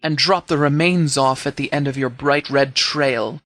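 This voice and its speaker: A man voicing a stern, authoritative sheriff in a video game, speaking in a measured, commanding, formal way. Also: mid-range voice, fairly even intonation, a bit bright, dry recording, clean studio sound, natural voice. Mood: cold threat. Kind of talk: scripted game dialogue.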